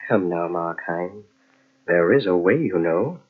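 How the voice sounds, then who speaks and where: Deep, sinister tempting inner voice. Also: male voice, lively intonation. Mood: coaxing. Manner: insinuating, slow commanding repetitions. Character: sly male inner voice of evil, tempting and commanding. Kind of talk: old-time radio mystery acting. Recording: dull lo-fi old radio cassette recording.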